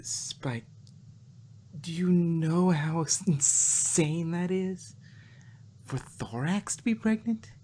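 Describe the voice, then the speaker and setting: A woman's voice: fairly low pitch, slow pace, long pauses, warm tone, a little hiss or hum. A woman voicing a flustered, exasperated young female pony scholar in a fan fiction reading. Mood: incredulous.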